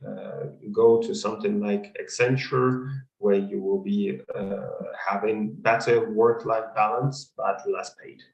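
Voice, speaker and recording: A man who is not a native English speaker, coaching in a webinar Q&A and pausing often on fillers. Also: fairly low pitch, flat delivery, gravelly, roomy sound, highs cut off. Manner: measured, many hesitant fillers. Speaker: consulting career coach. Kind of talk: webinar talk and Q&A.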